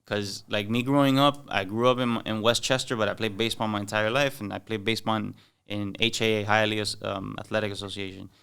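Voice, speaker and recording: A man's voice: on the low side, steady tone, a little fast, creaky, a bit bright, smooth. A young man from Miami with a Cuban-American accent, chatting casually as a podcast guest, slangy and loose, dropping in Spanish words. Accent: Miami Cuban-American English. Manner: loose slangy talk mixing in Spanish, many "like"s and "bro"s. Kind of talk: casual chat.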